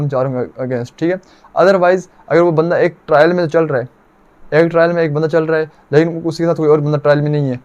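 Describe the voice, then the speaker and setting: A man's voice: fairly high pitch, a little mellow, highs cut off. A man with a Pakistani accent giving an explanatory law lecture, mixing in English legal terms, posing questions and answering them himself, and repeating phrases for emphasis. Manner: didactic, asks rhetorical questions and answers them.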